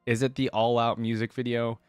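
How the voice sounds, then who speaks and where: A man's voice: medium pitch, little pitch movement, normal speed, darkish tone, clear, resonant tone, no echo, clean studio sound. A man giving casual, off-the-cuff reaction commentary, thinking aloud in a rambling way with lots of "like" and "you know". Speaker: reaction video host.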